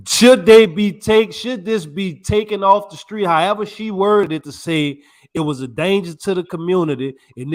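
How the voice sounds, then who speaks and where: Slangy laid-back male podcast co-host. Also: a little high. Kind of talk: casual chat.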